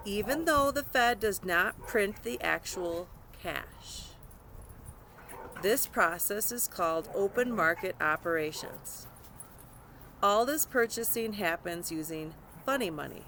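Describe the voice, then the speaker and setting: A woman's voice: medium pitch, a bit bright, a little hiss or hum. A woman narrating a scripted nonfiction audiobook, reading aloud.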